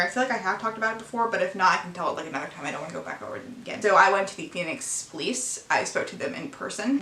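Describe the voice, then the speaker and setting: A teenage woman speaks in a bright, clear voice, earnest and emotional, pausing and restarting her sentences. She records a confessional vlog in her own room, and the room gives her voice a noticeable echo. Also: medium pitch. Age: late teens. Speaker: vlogger. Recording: echoey room sound.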